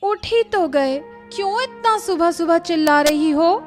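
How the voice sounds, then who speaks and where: Cartoon eldest sister played by a woman: youthful, placating, dutiful, high-pitched, faint music behind. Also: animated, unhurried. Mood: mild complaint. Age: young adult. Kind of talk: Hindi animated story dialogue.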